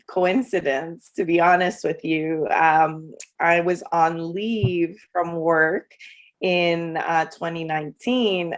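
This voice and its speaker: A woman's voice: lowish voice, creaky. A woman who works as a coach, from El Salvador and raised in Canada, talking casually in a podcast interview with many fillers and restarts. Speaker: guest coach.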